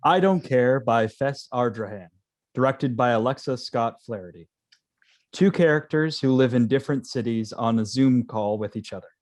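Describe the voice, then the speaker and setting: Male reader of play introductions, medium pitch, scripted narration on a video call. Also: normal speed, a little mellow. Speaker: stage directions reader.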